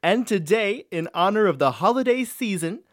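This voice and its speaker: A woman's voice: medium pitch, expressive, normal speed, no room sound, clean studio sound, natural voice. A woman playing a pompous, flirtatious male impresario who presents comic literary skits in a grandiose, giggly manner. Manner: grandiose, fawning, chuckling.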